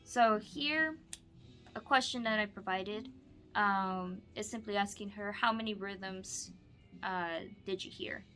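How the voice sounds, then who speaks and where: A woman teaching through a picture-book read-aloud, moving between expressive storybook reading and casual explanatory asides. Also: mid-range voice.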